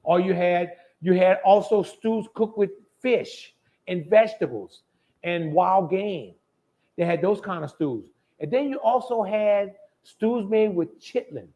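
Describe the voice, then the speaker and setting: A man's voice: high voice, measured pace, warm tone. A man hosts a casual live cooking show. He talks in a folksy, chatty way, with plenty of down-home phrasing, as if to regulars.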